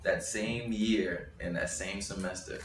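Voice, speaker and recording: A young man with a very low voice talks through his story in a loose, rambling way, often restarting mid-thought. He sounds set back from the mic in an echoey room. A faint noise sits under him. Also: steady tone, measured pace, distant mic, faint music behind. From Bushwick, Brooklyn, New York. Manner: rambling, restarts, thinking aloud. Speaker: rapper and interview guest. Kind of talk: casual interview talk.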